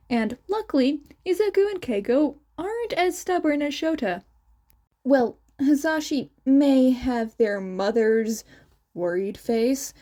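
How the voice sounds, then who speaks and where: High voiced lady reading a fanfic story, takes her time, soft mellow tone. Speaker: fan fiction reader. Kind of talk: scripted story narration.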